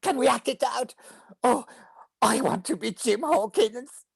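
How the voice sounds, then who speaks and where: A woman voicing a friendly, excitable young beetle in a preschool cartoon, playful and theatrical. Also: on the high side, a little slow, creaky, breathy edge, dry recording, studio quality, heavily acted. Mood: delighted. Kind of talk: animated cartoon table read.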